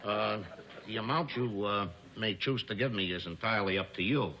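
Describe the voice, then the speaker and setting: A man's voice: fairly low pitch, close to an everyday voice. A man playing a wheedling telegram messenger in a 1950s sitcom, hesitant and given to putting on a pitiful act. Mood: meekly hinting. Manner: hesitant, meek, drawn-out hinting. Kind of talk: 1950s television sitcom acting. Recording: vintage TV soundtrack, clear noise.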